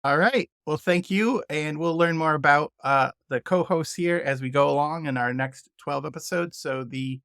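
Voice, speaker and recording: Creaky-voiced male podcast host. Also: fairly high pitch, normal speed, few pauses, a bit bright, no echo, clean studio sound. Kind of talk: conversational show hosting.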